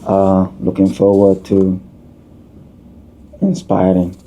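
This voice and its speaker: Guy in his 30s, super dark mellow voice, slow halting answers full of uhs. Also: low voice, monotone, highs cut off. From Somalia, raised in Kenya. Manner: unhurried, halting, with many uh fillers and restarts. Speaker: guest, community leader and author. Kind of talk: podcast interview answers.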